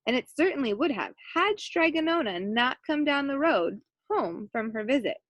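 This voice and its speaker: High-voiced woman reading a picture book aloud. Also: moderate pace, dry recording, dull audio. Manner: animated, acts out quoted lines and points out pictures. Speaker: elementary school teacher reading aloud to children. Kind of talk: expressive picture-book read-aloud with asides to young listeners.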